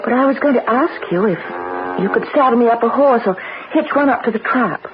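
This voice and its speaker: Radio drama heroine, female actor: spirited young woman, guarded yet emotional, animated pitch, noisy old broadcast. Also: fairly quick, muffled recording, lightly acted. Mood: determined. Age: young adult.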